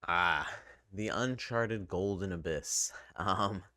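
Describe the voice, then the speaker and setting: A man talking casually and unscripted as a game reviewer, halting and drifting into asides. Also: low-pitched, pushed and bright, no room sound, clean studio sound. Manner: halting, conversational, trailing into asides. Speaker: video game reviewer and channel host.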